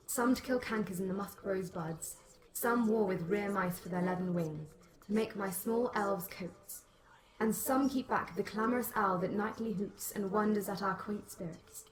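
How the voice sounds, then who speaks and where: A woman's voice: mid-range voice, fairly bright tone, close to an everyday voice. A woman playing a regal, imperious fairy queen in stately classical verse, recorded with echo. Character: regal fairy queen ordering her attendants. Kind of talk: classical Shakespearean verse acting. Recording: echoing, reverberant sound.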